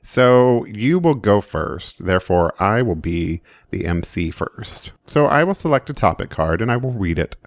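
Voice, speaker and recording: A man's voice: lowish voice, sing-song, measured pace, hardly any pauses, dry recording, studio quality. A man co-hosting a board game podcast, teasing his friend and walking through the rules in a playful, chatty way. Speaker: podcast co-host. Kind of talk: casual chat about board games.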